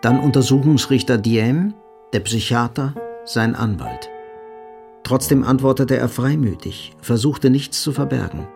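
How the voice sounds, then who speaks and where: A man's voice: medium pitch, measured pace, vocal fry, a little mellow, faint music behind. A man delivering scripted literary narration for a radio drama.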